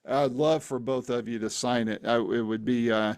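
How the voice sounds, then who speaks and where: A man's voice: medium pitch, fairly even intonation, normal speed, a little mellow, no echo, studio quality. A man from the Phoenix area hosting an interview, friendly and chatty, often saying "man". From Phoenix, Arizona area. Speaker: interview host. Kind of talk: casual interview conversation.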